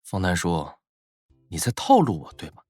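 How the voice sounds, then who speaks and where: An actor playing a young university student boss in an audio drama, casual, teasing and a little exasperated. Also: male voice, sits low, huge pitch swings, moderate pace, a little mellow, breathy edge, dry recording, faint music behind, exaggerated voice. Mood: suspicious, explaining.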